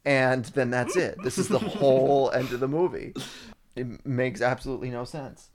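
A man's voice: medium pitch, normal speed, background noise. A man in casual chat, sarcastically recapping a movie's plot with frequent swearing.

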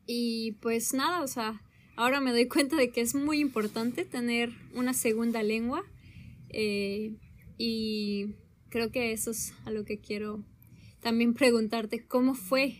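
A young woman with a Mexican accent, talking slowly and thoughtfully in a casual conversation, often pausing with hesitations. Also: fairly high pitch, steady tone, slight background noise. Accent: Mexican Spanish. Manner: slow, thoughtful, with many hesitations. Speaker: conversation partner.

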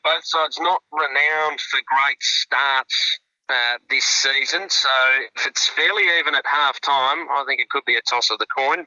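A chatty Australian man gives football tips as a regular radio guest, his voice bright and forceful. The sound is dry and a little dull, like a studio line. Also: medium pitch, dry recording. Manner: relaxed, chatty, with frequent fillers and restarts. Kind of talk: casual radio sports analysis.

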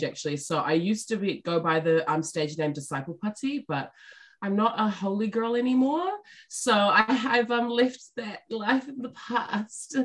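A woman's voice: mid-range voice, fairly bright tone. A young New woman, a musician, chats as a guest in a bubbly, jokey way. Her talk is full of fillers and easy laughter, and she speaks. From New Zealand. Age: young adult. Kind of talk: casual interview chat.

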